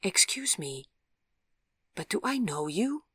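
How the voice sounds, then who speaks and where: A woman's voice: medium pitch, big pitch swings, takes it slowly, pushed and bright, breathy edge, no room sound, studio quality, pitched above the performer's own voice, heavily acted. Woman voicing a questioning young female patient. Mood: uncertain. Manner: direct, searching questions. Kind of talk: audiobook character voice.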